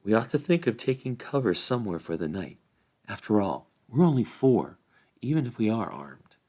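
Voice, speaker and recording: A man's voice: lowish voice, big pitch swings, moderate pace, darkish tone. Man voicing a blunt, indignant young hobbit. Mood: wary.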